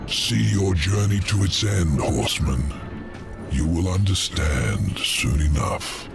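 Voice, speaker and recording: An actor playing a proud, sardonic ancient demon lord in a video game, with a theatrical, taunting delivery. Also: male voice, low-pitched, lively intonation, slow pace, vocal fry, exaggerated voice. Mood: wry, knowing. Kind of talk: video game cutscene acting. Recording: music behind the voice.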